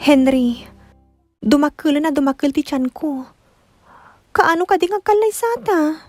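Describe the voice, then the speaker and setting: A woman's voice: sits high, lively intonation, a little slow, a little mellow, faint music behind. An actress with an Ilocano accent playing an anxious, insistent young student woman in a radio drama, with a plaintive manner. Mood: worried, insistent.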